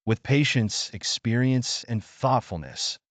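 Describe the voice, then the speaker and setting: A man narrating a scripted bedtime story for children in a measured, expressive manner. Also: medium pitch, no echo.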